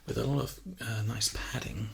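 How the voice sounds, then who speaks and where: A man doing a casual, reassuring ASMR sales role play, speaking softly and chattily into a close binaural microphone. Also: on the low side, steady tone, moderate pace. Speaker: ASMR performer playing a personal shopper. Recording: close binaural mic, clear background hiss.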